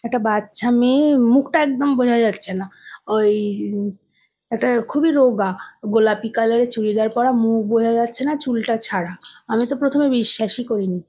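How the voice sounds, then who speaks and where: Female co-narrator, slightly high pitch. Casual, hesitant, halting first-person storytelling. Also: dark tone. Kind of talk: casual recounting of a personal experience.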